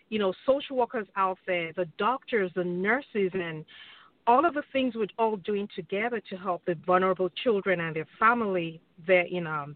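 A woman's voice: medium pitch, no echo. Earnest woman over a phone line. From Nigeria. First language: Yoruba. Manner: earnest, careful, with many ums. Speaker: guest, social worker. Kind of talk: interview answers.

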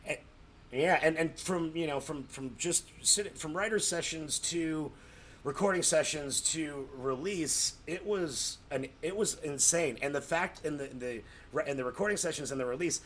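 A man with an American accent and a fairly high voice tells stories in a casual, effusive way. He rambles, repeats words for emphasis and acts out what people said, with some noise behind him. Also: moderate pace, a bit bright. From Colorado, United States. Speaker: podcast guest, comedy writer. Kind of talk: casual, animated storytelling.

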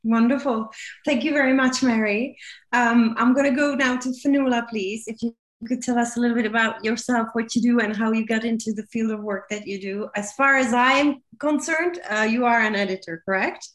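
A woman moderating an online panel over a video call, halting with fillers and restarts and laughing easily. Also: a little high, a bit bright, roomy sound. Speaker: webinar moderator.

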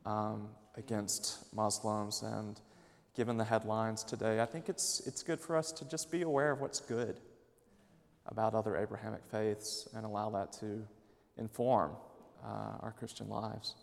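Gravelly-voiced man in a reverberant hall. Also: on the low side, level tone, measured pace. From Mississippi, USA. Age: late 30s. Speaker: seminary professor and minister. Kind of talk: personal talk to an audience.

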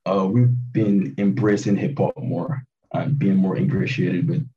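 A man's voice: low voice, fairly even intonation, measured pace. A man in his late twenties from Barbados, a hip hop producer chatting casually as a guest, hesitant with many fillers and restarts. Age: 20s. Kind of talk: casual interview chat. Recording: dull, distant lo-fi call audio.

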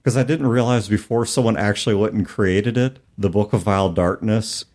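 A man's voice: on the low side, fairly quick, darkish tone. A man hosting a podcast, talking casually and reflectively in a self-deprecating way. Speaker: podcast host. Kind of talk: casual tabletop gaming podcast talk.

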